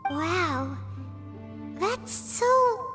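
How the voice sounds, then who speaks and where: An extremely high, childlike fairy companion for a video game. She is curious and excitable, with a lively swooping delivery. The voice rings in a long hall reverb over music. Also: extremely slow, full character voice. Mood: curious, amazed. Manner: inquisitive, exclamatory, childlike. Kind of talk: scripted video game voice acting.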